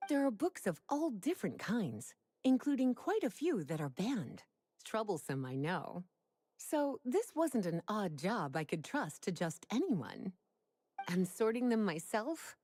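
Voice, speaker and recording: A woman's voice: mid-range voice, very animated, a little slow, a little mellow, slightly rough. A woman playing a sophisticated, teasing young librarian in a video game, with coy and elegant phrasing. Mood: poised, playful.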